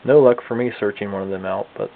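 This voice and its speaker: Gravelly man on a thin, lo-fi recording. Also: fairly low pitch, fairly even intonation, fast. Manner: folksy, informal, thinking aloud. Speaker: hobbyist radio restorer and video host. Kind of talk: casual hands-on demonstration for viewers. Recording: lo-fi, phone-like band, slight background noise.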